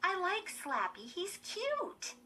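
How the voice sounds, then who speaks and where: A woman voicing a gleeful, scornful young girl who teases her sister in an excited way. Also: extremely high pitch, slow pace, voice pushed up, heavily acted. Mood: stubborn. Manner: excited, exclaiming, teasing.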